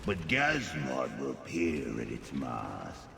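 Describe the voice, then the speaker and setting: An actor playing a haughty male creature collector who speaks of himself as plural, in a deep gravelly voice with slow, imperious delivery. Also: huge pitch swings, crowd noise, heavily acted. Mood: haughty, disdainful. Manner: slow, imperious, refers to others as "it". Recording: echoing hall reverb with game ambience.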